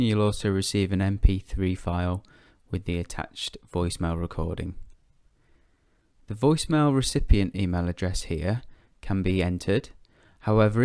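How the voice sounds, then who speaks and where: A man presenting a software tutorial step by step in a measured, methodical way. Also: on the low side, smooth, no room sound, studio quality.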